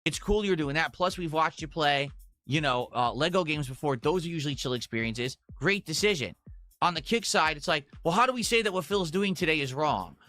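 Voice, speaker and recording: A man with a bright, forceful, slightly high voice rants as a game streamer. He sounds aggrieved and complaining, with music playing behind him. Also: lively intonation, fairly quick. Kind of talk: ranting livestream talk to viewers.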